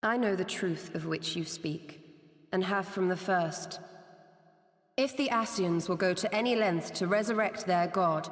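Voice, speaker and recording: A woman's voice: lowish voice, a little slow, echoey room, big-room sound, close to an everyday voice. A woman playing a resolute, commanding young leader in a fantasy game, with firm, archaic, formal phrasing. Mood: grim, determined. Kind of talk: dramatic fantasy game acting.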